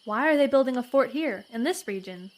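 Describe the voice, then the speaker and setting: A woman playing a learned, discreet frontier lady in a western audio comedy, speaking in formal, precise and measured words. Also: sits high, lively intonation. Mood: probing, formal.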